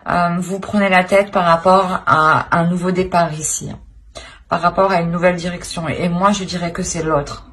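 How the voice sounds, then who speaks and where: A woman's voice: lowish voice, steady tone, normal speed, fairly bright tone. A woman giving an improvised tarot reading to viewers, speaking hesitantly and often repeating and rephrasing as she searches for words. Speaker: tarot reader, channel host.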